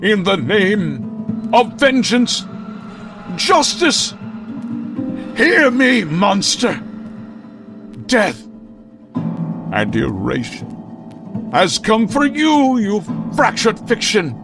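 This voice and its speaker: A man's voice: sits high, sing-song, music in the background, heavily acted. A man playing a grandiose, righteous comic-book vigilante in an old-time radio drama, speaking with pompous melodrama. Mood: righteous vengeance. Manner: pompous, melodramatic, drawn-out delivery.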